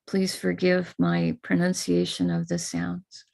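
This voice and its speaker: Slow, gravelly-voiced American woman teacher. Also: lowish voice. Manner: slow, calm and instructive, with chanted invocations. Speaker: spiritual teacher leading a ritual. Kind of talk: guiding a live online prayer ritual. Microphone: video call.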